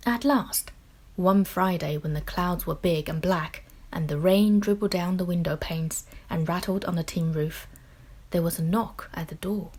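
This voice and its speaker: A woman reading a children's picture book aloud in expressive, deliberate narration with dramatic phrasing. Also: on the low side, husky. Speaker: children's story reader.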